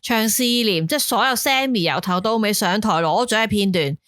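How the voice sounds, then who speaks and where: A woman's voice: medium pitch, no room sound. Chatty Hong Kong Cantonese woman, dry mic. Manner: animated storyteller, quick asides. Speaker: podcast co-host. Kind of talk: casual chat.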